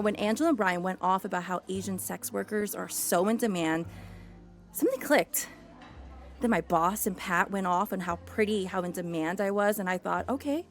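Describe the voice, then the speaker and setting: An actress playing a young, people-pleasing hustler in a scripted podcast comedy, with an animated, rambling, sardonic way of talking. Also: female voice, medium pitch, lightly acted. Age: young adult. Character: young, hustling, people-pleasing woman, sharp-tongued and desperate.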